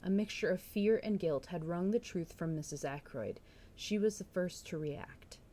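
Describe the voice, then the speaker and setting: A woman's voice: fairly low pitch, normal speed, mellow. A woman reading a story aloud on a live stream and commenting on it casually. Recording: noticeable background noise.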